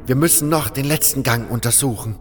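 Haughty zealot priest for fantasy radio play, man performing, solemn proclamations, lifted pitch, music underneath. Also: brisk, big-room sound, close to an everyday voice. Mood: solemn, insistent. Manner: pompous, scornful, solemn proclaiming. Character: fanatical, haughty male cult priest of a sleeping god. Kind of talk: fantasy audio drama acting.

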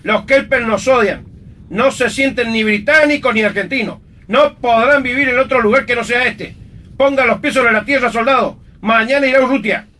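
Guy doing a bossy army sergeant in a radio play, really high sharp voice, barking orders, mic a bit far off. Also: normal speed. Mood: scolding. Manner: barking, commanding. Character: stern, scolding army sergeant in wartime. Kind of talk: amateur radio play acting. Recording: noisy, distant mic with rumble.

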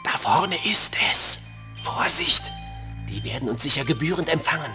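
Reverberant, high-voiced squad leader. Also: male voice, lively intonation, moderate pace, bright, forceful tone. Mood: alert commanding. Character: alert leader of heroic antivirus program squad. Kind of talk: children's radio play acting. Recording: long reverb, music underneath.

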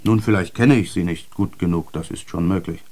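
A courteous airline steward in a German radio drama. The man's voice sits low, and he speaks to his passenger in a modest, polite way. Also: brisk, warm tone. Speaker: actor.

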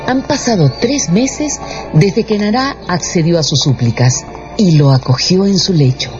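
A man's voice: sits high, expressive, measured pace. A man delivering scripted, dramatic narration for a radio drama. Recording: dull radio production with music underneath.

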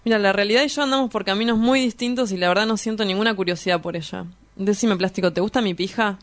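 A woman's voice: medium pitch, quick pace, lightly acted. A woman with an Argentine accent playing a reckless, cynical young male drug dealer in a radio drama, slangy, teasing and insolent. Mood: provocative, teasing. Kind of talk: scripted radio drama acting.

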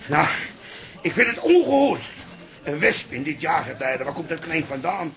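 An actor with a Dutch accent playing a stubborn, comically indignant old café patriarch in a radio play. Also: male voice, on the high side, very animated, normal speed, creaky, a bit bright, heavily acted.

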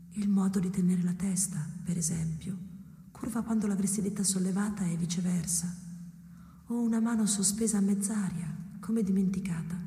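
Heavy echo surrounds a detached, ghostly wife acted by a woman in a radio play. Her voice is dark and soft, measured and hushed, often near a whisper. She sounds resigned and quietly reproachful. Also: medium pitch, lightly acted. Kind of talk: experimental radio drama acting. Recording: echoey with long reverb.

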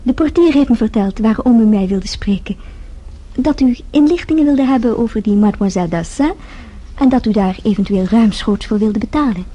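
An actress playing a shrewd, coy young French chambermaid in a radio play, polite and businesslike. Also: female voice, on the high side, fairly quick, dark tone, close to an everyday voice. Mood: polite, knowing. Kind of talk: radio drama acting.

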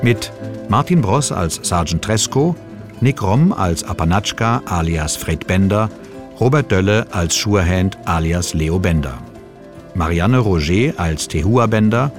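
A male announcer with a low voice reads scripted credits for a radio play. Music plays clearly behind him as he lists the parts. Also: lively intonation, unhurried.

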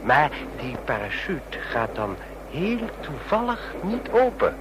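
An actor in a Dutch radio drama playing a chatty, smug train passenger obsessed with spy stories, talking in a knowing, needling way. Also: male voice, very animated, slow pace. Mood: gleefully needling. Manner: talkative, knowing, needling with rhetorical questions. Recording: noisy radio play with vehicle rumble.

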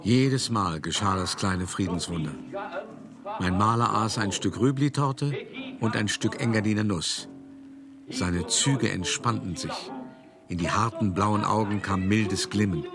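An actor playing a self-important older art dealer who tells of his past in an expressive, articulate, wry monologue for a radio play. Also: lowish voice, measured pace, over music. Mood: wry narration. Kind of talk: theatrical first-person monologue, storytelling.

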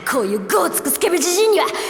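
A brash female brawler of an audio drama, cocky and rough-spoken like a man. Her voice is fairly high and very animated, ringing with echo. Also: very fast, exaggerated voice. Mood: angry, threatening. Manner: rough masculine speech, cocky. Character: brash, tough tomboyish female martial artist. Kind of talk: Japanese audio drama acting. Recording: echoey reverberant hall, faint music.

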